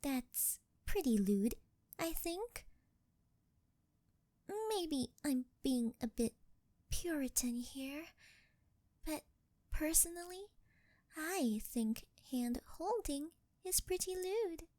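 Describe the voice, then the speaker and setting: A young woman playing a sweet, shy, clingy angel turned succubus in an ASMR roleplay, speaking softly and breathily with a coy, halting, intimate delivery close to the mic. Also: high-pitched, expressive, no room sound. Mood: bashful coaxing. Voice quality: soft, breathy, girlish. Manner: coy, halting, intimate, often dropping into whispers. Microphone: close ASMR microphone, dry sound.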